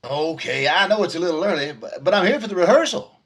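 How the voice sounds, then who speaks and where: Man playing a brash, high-voiced radio bandleader. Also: animated, fast talker, a bit bright, slightly rough, full character voice. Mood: breezy. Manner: breezy, slangy, cocky. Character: brash, jovial, self-satisfied hard-drinking male bandleader. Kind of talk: 1940s radio comedy acting.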